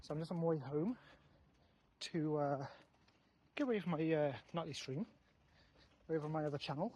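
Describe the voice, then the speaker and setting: A gaming video creator chatting casually and off the cuff in a halting way while showing items. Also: mid-range voice, darkish tone. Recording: clearly noisy background.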